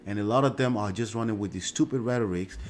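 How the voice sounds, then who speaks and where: A man's voice: fairly low pitch, fast, slight background noise. A Nigerian man whose first language is Yoruba hosts a livestream and talks casually in a Nigerian accent, often using "uh" and "right?". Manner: conversational, with frequent "uh" and tag questions like "right?". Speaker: show host. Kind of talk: livestream commentary reading viewer comments.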